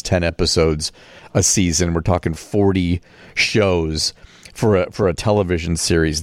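Man, American accent, radio interview host, lowish pitch, dry studio sound. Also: darkish tone, no echo.

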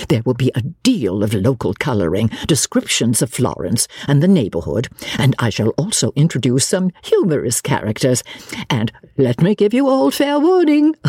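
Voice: mid-range voice, a little mellow, husky, no room sound, clean studio sound, full character voice. A reader voicing a middle-aged lady novelist, effusive, pompous and emphatic, in an audiobook story.

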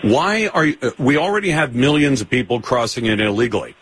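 A man's voice: mid-range voice, expressive, brisk, a bit bright, a little hiss or hum. A man hosting a call-in radio talk show, pressing callers hard with pointed questions and cutting in.

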